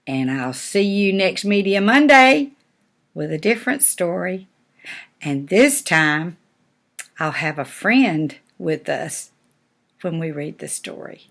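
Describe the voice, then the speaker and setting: A woman's voice: lowish voice, animated, slow, vocal fry, fairly bright tone. An older woman, a grandmother reading a storybook to young children and chatting about it in a folksy, simple way. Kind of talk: storybook read-aloud with chatty asides to children.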